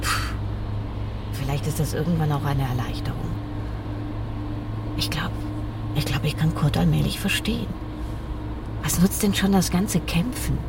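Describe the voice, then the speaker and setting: A woman's voice: deep voice, a little slow, long pauses, distant mic, heavy background noise. An actress playing a restless, talkative, exasperated woman in her forties, in naturalistic radio drama dialogue. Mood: weary, brooding. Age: 40s. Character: restless, talkative, exasperated woman around forty on a road trip. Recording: music under the dialogue.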